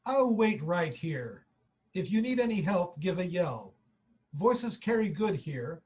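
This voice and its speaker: A man playing an unhurried, casual police officer on night patrol in a 1940s-style radio play. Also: sits high, big pitch swings, a little mellow, full character voice. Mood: folksy, casual. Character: male night policeman, slow, casual, watchful.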